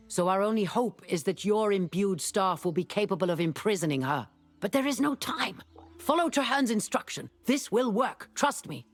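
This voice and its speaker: A woman's voice: medium pitch, lightly acted. A woman playing a wry, commanding sorceress who mentors a young hero in a fantasy video game, speaking formally and with urgency. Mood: urgent. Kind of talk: video game character dialogue.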